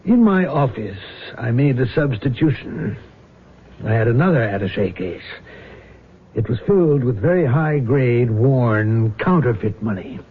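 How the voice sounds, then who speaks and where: An actor playing a weary former gambling front man on the run, confessing in a deliberate, halting way in a radio drama. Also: mid-range voice, animated, close to an everyday voice. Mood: frank, weary. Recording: noisy, tinny old radio broadcast.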